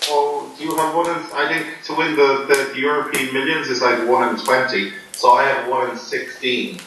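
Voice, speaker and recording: Deadpan joking man, echoey room. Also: medium pitch, moderate pace. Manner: deadpan, jokey, rambling with ums. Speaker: poker player being interviewed. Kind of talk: joking casual chat. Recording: echoey room, voice from far off, faint noise.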